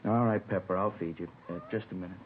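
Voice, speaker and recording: A middle-aged businessman played by a male actor talks in a curt, grudging way, irritable and evasive. His voice is lowish and quick. It sounds like a vintage radio crime drama with a narrow, tinny band. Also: fairly even intonation, background noise, lightly acted. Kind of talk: 1950s radio drama acting.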